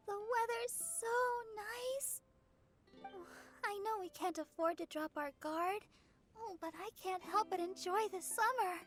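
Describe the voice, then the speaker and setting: Sweet polite teen girl for anime game, real high light voice, unhurried, gentle. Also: soft background music, exaggerated voice. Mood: dreamy. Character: sweet, polite teenage girl idol, happy-go-lucky.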